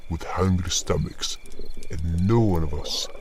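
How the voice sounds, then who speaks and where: Man acting a low-voiced, solemn spirit guardian. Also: unhurried, darkish tone. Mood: weary, matter-of-fact. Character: old, earnest, gentle spirit guardian recounting his past. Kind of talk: audio drama first-person narration and dialogue. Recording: noisy track with cricket ambience. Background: crickets and outdoor night ambience.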